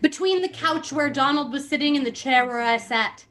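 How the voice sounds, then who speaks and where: Bright-voiced woman reading dramatically. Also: sits high, moderate pace, slight background noise. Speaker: podcast host reading a book aloud. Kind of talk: dramatic reading with casual asides.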